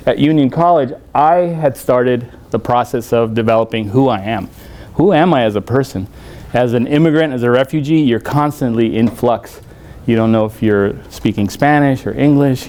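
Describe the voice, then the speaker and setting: A man from El Salvador, somewhere in his forties, speaks at a conference in a university hall at a middle pitch. He jokes at his own expense and pads his sentences with many fillers. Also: darkish tone. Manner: self-deprecating, joking, with many fillers. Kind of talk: conference talk.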